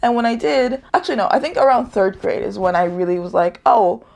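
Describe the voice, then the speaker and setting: Young woman with a gravelly, rambling chat. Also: mid-range voice, brisk, darkish tone. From United States. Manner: rambling, self-correcting, laughs often. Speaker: vlogger. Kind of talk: casual vlog chat.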